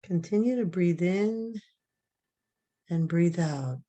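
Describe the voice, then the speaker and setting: A woman leading a guided relaxation meditation, giving soft, calm, drawn-out breathing instructions. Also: on the low side.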